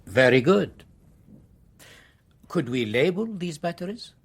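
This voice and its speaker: An actor playing an imperious, ambitious government minister in a radio drama, speaking formally and emphatically. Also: male voice, fairly high pitch, sing-song, takes it slowly, slightly rough, full character voice. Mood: insistent, confident.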